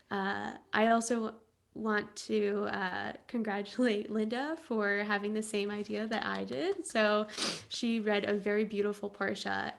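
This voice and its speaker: A woman from the United States giving an earnest, reflective personal testimony in halting phrases at a church service. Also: mid-range voice, creaky.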